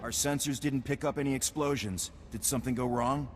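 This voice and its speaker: A man's voice: fairly even intonation, fairly quick, faint music behind, natural voice. A man playing an earnest, grave military officer in a science fiction video game. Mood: surprised, grateful. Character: earnest, grave Republic military commander at an ice outpost. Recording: boomy hall reverb, noisy game ambience.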